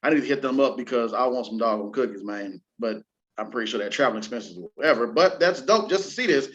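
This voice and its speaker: Low-voiced man hosting, dull lo-fi sound. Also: expressive, fast talker, fairly bright tone. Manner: informal, slangy, exclamatory. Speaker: talk show host. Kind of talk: casual entertainment chat, reading news items aloud.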